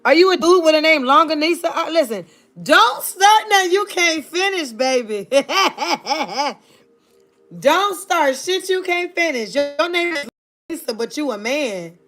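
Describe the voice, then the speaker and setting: A woman's voice: sits high, expressive, unhurried, a bit bright. A woman in her thirties from Virginia, chatting sassily and playfully with viewers on a live stream. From Virginia, United States. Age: 30s. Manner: sassy, playful, talks straight to her chat. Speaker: livestreamer.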